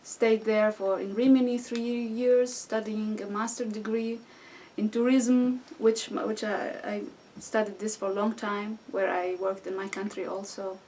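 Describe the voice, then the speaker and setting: A woman's voice: mid-range voice, little pitch movement, warm tone. A Syrian woman in her thirties, a guest speaking hesitant with frequent restarts and fillers. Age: 30s. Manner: halting non-native English with restarts and fillers. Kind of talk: conversational interview answers. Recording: distant mic with faint background noise.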